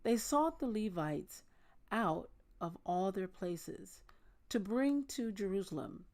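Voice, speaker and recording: A woman hosting a Bible reading, speaking in a measured, deliberate manner as she reads aloud and talks informally to listeners. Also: medium pitch, lively intonation, a little mellow. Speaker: host of a daily Bible reading. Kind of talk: reading scripture aloud with casual asides.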